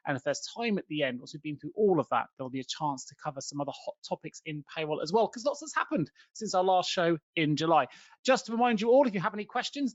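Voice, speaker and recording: A man's voice: high voice, no echo, highs cut off. A man in his forties hosting a payroll webinar panel, upbeat and presenter-like, with frequent fillers. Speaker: host.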